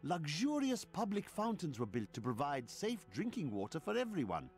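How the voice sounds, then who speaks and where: A man's voice: on the high side, wildly swooping pitch, moderate pace, faint music behind. A man playing a learned chronicler narrating history in a fantasy video game, scholarly and formal. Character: learned male chronicler reading city history. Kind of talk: scripted lore narration.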